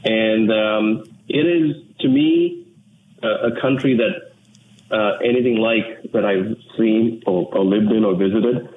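A man from Sri Lanka, an English speaker, talking in an earnest, measured way as an interview guest. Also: lowish voice. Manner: measured, earnest, with frequent restarts. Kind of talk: reflective interview talk. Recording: tinny phone line with faint noise.

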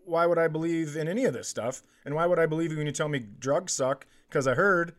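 A middle-aged American man in casual comedic conversation, telling stories in an animated way and acting out what others say. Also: on the high side, fast. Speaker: comedy podcast host.

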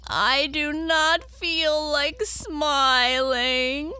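A woman's voice: high-pitched, very slow, bright, forceful tone, pitched above the performer's own voice, exaggerated voice. A woman voicing a petulant, whiny, tearful little girl in a children's story. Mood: tearful, despairing.

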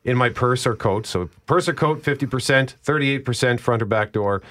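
A man's voice: medium pitch, moderate pace, a bit bright. A man with a Canadian accent hosting a radio show, bantering and reading items aloud.

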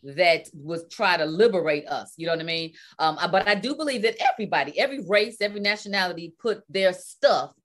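A woman with a somewhat low voice talks bluntly on a casual panel show. She is candid and emphatic, and she leans into her points. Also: animated, fairly quick, hardly any pauses, a bit bright. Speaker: talk show co-host.

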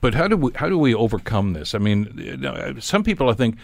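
A man's voice: medium pitch, big pitch swings, brisk, creaky, no echo. A man hosting a radio panel discussion, telling stories in an opinionated way and acting out quotes as he goes. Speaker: radio talk show host.

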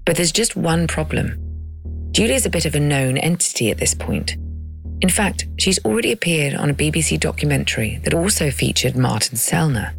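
A woman narrating and hosting a documentary podcast in a crisp, dramatic storytelling manner. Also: low-pitched, lively intonation, normal speed, faint music behind. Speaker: narrator and host.

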